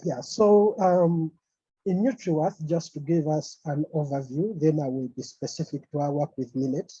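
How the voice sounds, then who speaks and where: Man from Uganda, very dark husky voice, hesitant with fillers, dull dry call audio. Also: on the high side, fairly even intonation, moderate pace, dry recording. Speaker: presenter. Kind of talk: online conference presentation. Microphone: video call.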